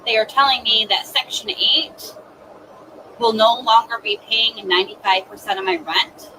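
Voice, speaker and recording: A woman's voice: a little high, fairly even intonation, normal speed. A woman giving a personal account in a social media clip. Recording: noisy clip with vehicle and crowd sounds.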